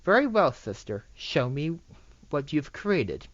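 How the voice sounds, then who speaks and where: A wary elder-sister pony princess, as an amateur reader puts her on in a storybook reading. She questions things cautiously in a mid-pitched voice, recorded dry on a dull microphone. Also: sing-song, slow, dry recording. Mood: agreeable.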